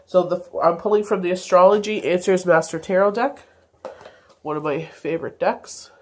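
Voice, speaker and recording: A woman's voice: on the low side, moderate pace, a little mellow. Stuffy-nosed woman reading tarot cards. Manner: meandering, many fillers and self-corrections. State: congested, stuffy nose. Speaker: tarot reader. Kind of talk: daily tarot card reading.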